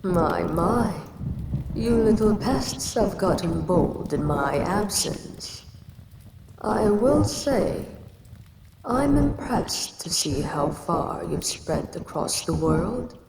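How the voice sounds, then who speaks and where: A woman's voice: fairly low pitch, expressive, slow pace. A woman voicing an ancient giant female kaiju queen who speaks down to a tiny human she calls a pest. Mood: brooding. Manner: condescending, calls the listener pest. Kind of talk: audio roleplay acting. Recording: echoey reverb with ambient noise.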